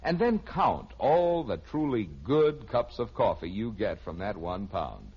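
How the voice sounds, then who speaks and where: A man's voice: fairly low pitch, very animated, unhurried, husky. An American man delivering a folksy, persuasive 1950s radio advertisement as a commercial announcer. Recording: muffled old radio recording.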